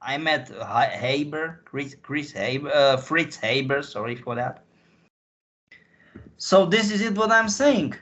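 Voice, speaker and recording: A man from Slovakia with a Slovak accent gives rambling interview answers, haltingly repeating and rephrasing as he searches for words. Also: fairly high pitch, lively intonation, fairly bright tone, lo-fi sound. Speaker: interview guest.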